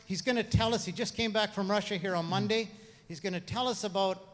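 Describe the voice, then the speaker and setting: A man leading a church gathering, introducing a guest informally and haltingly, repeating his phrases as he goes. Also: high voice. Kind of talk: informal spoken introduction to a congregation. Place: large room, church service. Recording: echoey hall with long reverb.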